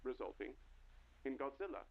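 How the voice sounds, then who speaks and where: A man's voice: mid-range voice, animated, moderate pace, crowd noise. A man reading a scripted mock-documentary horror narration in a solemn, dramatic storytelling way. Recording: dull lo-fi analog-style radio sound. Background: clear music under the voice.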